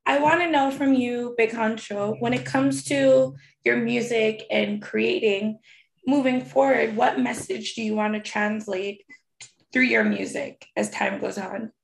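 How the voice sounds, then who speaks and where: A woman interviewer asking measured questions between short affirmations. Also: on the high side, steady tone, vocal fry, slight background noise. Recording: roomy echo.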